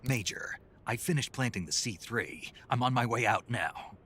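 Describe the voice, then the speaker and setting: An actor playing a gruff, stoic special agent in a video game, terse and gravelly. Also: male voice, expressive, normal speed, sharp tone. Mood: urgent, assured. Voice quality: very gravelly, husky. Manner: terse, low-key military delivery. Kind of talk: video game cutscene acting.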